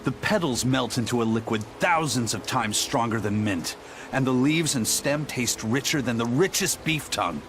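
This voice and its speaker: A man's voice: mid-range voice, lively intonation, normal speed, background noise, lightly acted. A man voicing a stern, proud pirate in a video game, matter-of-fact but dramatic. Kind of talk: English video game voice acting.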